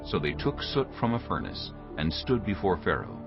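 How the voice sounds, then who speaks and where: Low-voiced male scripture narrator. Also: huge pitch swings, normal speed, creaky. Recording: phone-like narrow band, prominent music.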